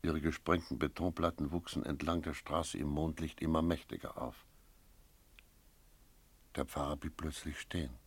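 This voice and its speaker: A sober investigator narrates a radio drama. The voice is extremely deep, with grave, deliberate phrasing and a wary, restrained manner. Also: male voice, steady tone, breathy edge, exaggerated voice. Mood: sober, tense. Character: sober, wary male investigator recounting a night search. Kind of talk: first-person radio play narration with dialogue.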